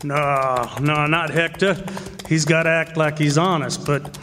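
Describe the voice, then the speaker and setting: An actor playing a shifty, hot-tempered Western outlaw in an old-time radio drama style, with gruff cowboy talk. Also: male voice, fairly high pitch, steady tone, unhurried, sharp tone. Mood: confident, scheming. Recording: echoey hall, noisy with clicking.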